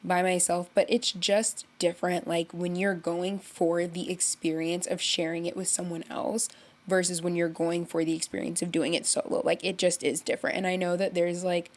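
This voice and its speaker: A woman's voice: on the low side, normal speed. A young woman hosting a podcast, congested from illness, chatting casually and rambling with lots of "like" as she tells a story. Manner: rambling, heavy use of "like", animated storytelling. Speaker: podcast host. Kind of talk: casual solo chat.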